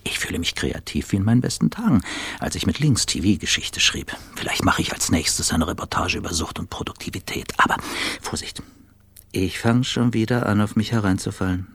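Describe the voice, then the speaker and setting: An actor playing a self-doubting, bitterly cynical journalist in a radio play monologue, husky and breathy, often dropping toward a whisper. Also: male voice, fairly low pitch, lively intonation, a little fast, fairly bright tone, no room sound, exaggerated voice. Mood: self-tormenting.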